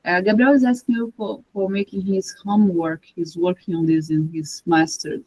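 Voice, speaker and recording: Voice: medium pitch, level tone, moderate pace, a little mellow, rough recording. A moderator, a native Portuguese speaker, reading audience questions aloud in English in an informal, hesitant way with many fillers.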